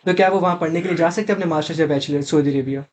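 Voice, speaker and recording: Male interviewer, Pakistani accent, very quick pace, higher-pitched voice, Urdu mixed with English, clear ambient noise. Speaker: interviewer and show host.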